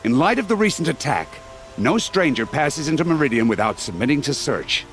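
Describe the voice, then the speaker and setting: A scornful gate guard. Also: male voice, a little high, big pitch swings, fairly quick, faint music behind. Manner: scornful and commanding. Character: arrogant male city gate guard.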